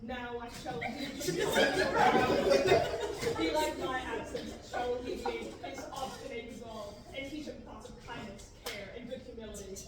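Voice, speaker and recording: A young woman reading a part at a table reading, slow and playful with frequent giggles. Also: fairly high pitch, lively intonation, a loud crowd. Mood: amused. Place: large classroom with many seated readers. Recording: echoey room, far-off mic, loud noise.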